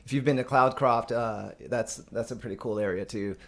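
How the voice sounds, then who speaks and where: A man from Texas hosting a live stream and chatting casually. He uses frequent "um" fillers, and his voice sits at an ordinary middle pitch. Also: normal speed. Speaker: host.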